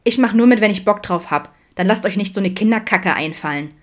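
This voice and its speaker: A woman's voice: on the low side, fast talker, natural voice. A woman voicing a sulky, defiant teenage girl in an audiobook, snappy, slangy and reproachful. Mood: defiant whining.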